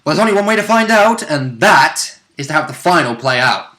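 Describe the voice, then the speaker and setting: A man in casual chat about a tabletop game, sizing up each side's chances with confident analysis. His voice is bright and forceful. The recording has a roomy echo. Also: a little high, very animated, fairly quick. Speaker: co-commentator.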